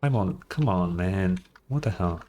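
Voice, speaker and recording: A man's voice: deep voice, lively intonation, unhurried, dark tone, slight background noise. A man giving casual live reaction commentary as a game streamer, with music behind him.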